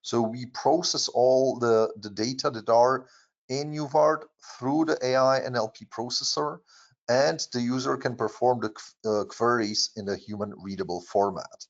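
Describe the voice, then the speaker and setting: A man with a Czech accent, Czech his first language, presenting a software demo in a webinar with frequent fillers and restarts. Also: medium pitch, highs cut off. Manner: halting, many fillers and restarts. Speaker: product presenter.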